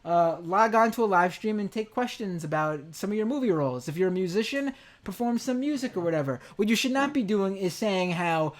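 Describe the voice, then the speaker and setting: A man's voice: sits high, animated, fairly quick, few pauses. A man in his thirties hosting a podcast interview, talking bluntly and jokily with some swearing. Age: 30s. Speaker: show host. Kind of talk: casual podcast chat.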